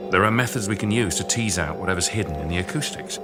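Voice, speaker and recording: A wry male sound researcher in a radio drama, played by an actor. He speaks in a fairly low voice with dry, grumbling humour, over clearly heard music. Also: fast, close to an everyday voice. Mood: intent, explaining. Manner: sardonic, dry-witted. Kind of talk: naturalistic radio drama dialogue.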